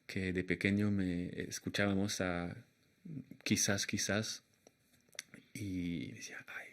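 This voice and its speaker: A young man speaks with a slight French accent, his voice sitting fairly low. He talks very slowly and hesitantly, pausing and restarting as he reaches for words while answering an interviewer. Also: little pitch movement, a bit bright. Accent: slight French accent in Spanish. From Argentina and France. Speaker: jazz pianist and singer, interview guest.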